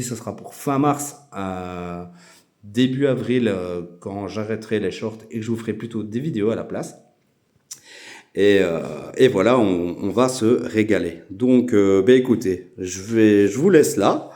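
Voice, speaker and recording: A Belgian man with a Belgian French accent, hosting a casual vlog and talking with many hesitant filler pauses. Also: fairly low pitch, dark tone. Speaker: YouTube channel host.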